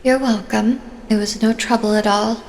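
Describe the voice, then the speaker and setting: A woman playing a reserved, dutiful fighter in an audio drama, speaking in an even, formal manner. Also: fairly high pitch, normal speed, close to an everyday voice. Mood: matter-of-fact. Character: reserved, dutiful female long-range fighter. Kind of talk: scripted fan audio drama acting. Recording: reverberant hall, faint noise.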